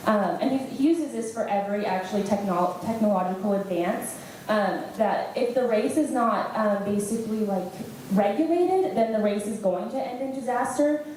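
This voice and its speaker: A young woman answers a question from a student panel, feeling her way through it with fillers and restarts. Her voice is mellow and sits in the middle of her range. The room is very echoey, with a faint hum behind her. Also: mid-range voice, normal speed. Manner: hesitant, many fillers and restarts.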